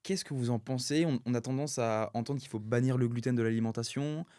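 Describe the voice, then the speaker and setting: A man's voice: mid-range voice, brisk, warm tone, clean tone, no room sound, studio quality. A young man hosting a podcast, asking hesitant questions and repeating words as he goes. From family of Italian origin. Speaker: podcast host. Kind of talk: casual podcast interview.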